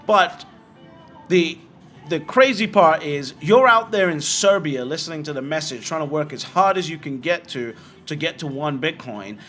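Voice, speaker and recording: A man's voice: a little high, expressive, fairly bright tone, soft background music. Blunt, chatty British man. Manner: frank and blunt, with quick rhetorical questions. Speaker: host answering viewer comments. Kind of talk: casual livestream commentary.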